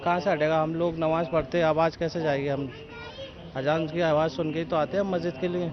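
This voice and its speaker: A man's voice: on the high side, little pitch movement, fairly quick, lo-fi sound, crowd noise. Questioning man in a crowded street recording. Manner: argues in rhetorical questions. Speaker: member of the public. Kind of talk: casual street interview.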